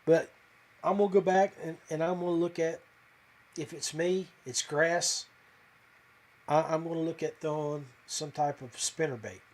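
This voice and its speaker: A man's voice: fairly high pitch, fairly even intonation, unhurried, a little mellow. A man hosting a live podcast, talking casually and folksily to viewers in a hesitant, rambling way. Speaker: podcast host.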